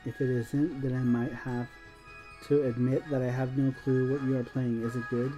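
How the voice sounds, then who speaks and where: A woman's voice: a bass voice, little pitch movement, normal speed, dark tone, lo-fi sound. A woman hosting a casual gameplay stream, reading viewer chat aloud, laughing and swearing at the game. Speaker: streamer and show host. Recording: game music playing behind the voice. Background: music.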